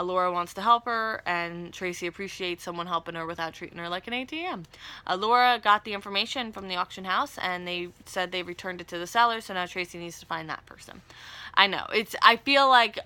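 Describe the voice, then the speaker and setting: A woman's voice: medium pitch, sharp tone, dry recording. A woman hosting a casual, chatty TV soap recap, with wry asides and slangy, conversational paraphrasing. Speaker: video recap host.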